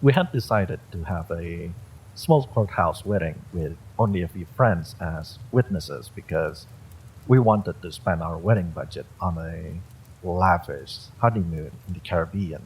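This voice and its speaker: A man narrates a first-person horror story in a low voice with plenty of gravelly creak. He reads from a script as a storyteller. Faint noise sits behind him. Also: unhurried, low-quality recording.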